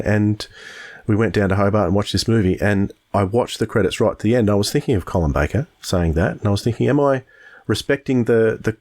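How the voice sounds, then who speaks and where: Low-voiced Australian man chatting on a podcast. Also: darkish tone. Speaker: podcast host. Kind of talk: casual podcast discussion.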